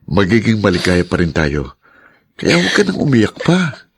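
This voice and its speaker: A man playing a loving, troubled young husband in a radio drama, gentle and reassuring. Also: lowish voice, wildly swooping pitch, moderate pace, slightly rough, no echo, clean studio sound, exaggerated voice.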